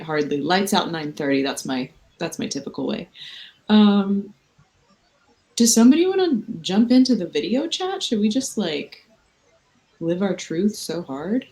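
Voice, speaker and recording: A woman's voice: medium pitch, warm tone. Loopy, rambling woman on a late-night video chat. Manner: loose, rambling, self-mocking. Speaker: livestream host. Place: hotel room at night. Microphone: video call.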